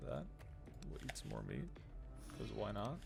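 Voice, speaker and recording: A man streaming a game, giving casual live commentary as he thinks aloud in short, low-key, musing fragments. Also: huge pitch swings, unhurried, creaky, over music.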